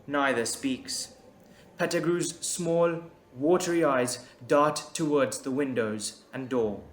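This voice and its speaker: A man's voice: medium pitch, slow pace. A man narrating a scripted audio drama in a storytelling style. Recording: roomy echo, background music.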